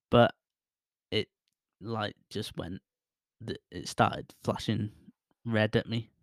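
A man's voice: fairly low pitch, steady tone, drawn-out words, long pauses, no room sound. Chatty man reviewing a microphone up close. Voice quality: voice others call soothing. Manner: rambling, self-deprecating, laughs often. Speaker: tech reviewer testing a microphone. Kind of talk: casual product review. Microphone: close studio microphone with headphones.

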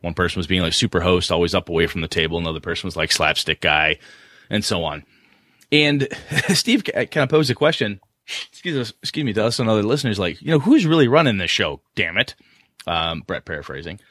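A man's voice: sits low, lively intonation, brisk, pushed and bright, no room sound, studio quality. A man in his forties co-hosting a casual tabletop gaming podcast, laughing often and restarting his sentences as he talks. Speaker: podcast co-host.

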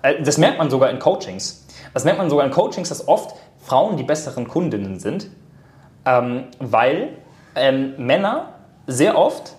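A man's voice: medium pitch, sing-song, a little slow, darkish tone. A man who works as a coach, speaking in casual podcast conversation, explaining his views carefully and correcting wording. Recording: echoey room.

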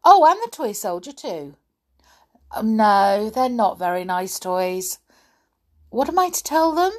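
A woman's voice: medium pitch, very animated, measured pace, darkish tone, pitched above the performer's own voice. A woman voicing a sly, bossy girl doll in a children's story, gushing and mock-polite. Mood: gushing pretence.

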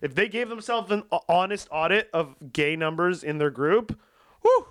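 High-voiced man in rambling profane chat. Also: expressive, moderate pace, fairly bright tone, no echo. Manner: rambling, swears a lot, acts out quoted people.

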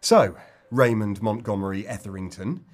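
A man playing a self-important, sarcastic police officer in a dark comedy audio drama, brusque and officious. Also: lowish voice, moderate pace, close to an everyday voice. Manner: officious, sardonic, clipped.

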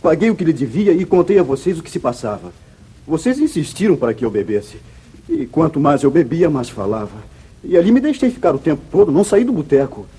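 An anguished, earnest young man wrongly accused, in an old Brazilian radio drama. The voice is very husky and rather high, swinging dramatically in pitch. The recording sounds vintage and muffled. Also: sing-song, a little fast, warm tone, full character voice. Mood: anguished, confused. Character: anguished, falsely accused young wayward son. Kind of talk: 1950s Brazilian radio serial acting.

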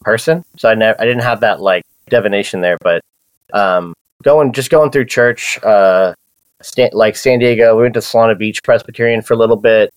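Thirties man, lowish voice, rambling casual storyteller, dry mic. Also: moderate pace, no echo. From Southern California. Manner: loose, many fillers and restarts. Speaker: podcast guest.

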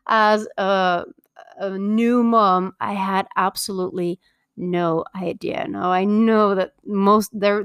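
A middle-aged woman from Belgium hosting her own podcast as a parenting coach, talking earnestly and upbeat with many filler pauses. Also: mid-range voice, smooth, no room sound, studio quality. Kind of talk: solo podcast introduction.

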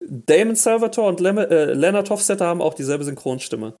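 A man on a podcast chats away, animated and quick to stammer as his thoughts run ahead. His voice sits a little high for a man. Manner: animated, restarts and stammers when excited. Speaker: podcast co-host. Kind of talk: casual chat.

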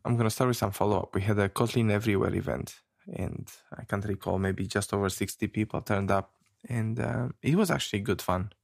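A man from Romania co-hosts a relaxed chat show. His voice is rough and gravelly, sitting low, and he speaks haltingly with plenty of fillers and small laughs. The sound is dry and close. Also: dry recording. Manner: halting, many fillers and restarts, laughs often. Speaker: podcast co-host. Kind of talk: casual chat.

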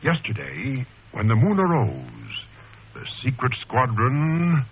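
Muffled old radio announcer guy, 1940s style, emphatic and earnest, pitch jumping all over. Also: slight background noise. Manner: emphatic, earnest sales delivery. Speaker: announcer and narrator. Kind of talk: 1940s radio advertising and serial narration. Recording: muffled vintage radio broadcast recording.

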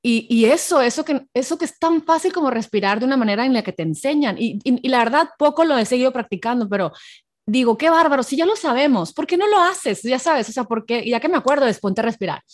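A woman hosting an interview, chatty and casual, full of quick fillers and restarts. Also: high-pitched, lively intonation. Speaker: interview host.

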